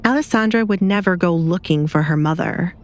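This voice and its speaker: A woman's voice: fairly low pitch, brisk, soft background music. A woman reading scripted dramatic fiction as an audiobook narrator, with light noise behind her.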